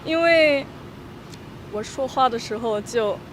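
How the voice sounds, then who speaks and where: A young woman from Austria with a high, light voice, talking in a halting way with plenty of fillers and easy laughter. She is interviewed outdoors on a city street, and loud traffic rumbles behind her. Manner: hesitant, many fillers, laughs easily. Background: traffic and passing vehicles.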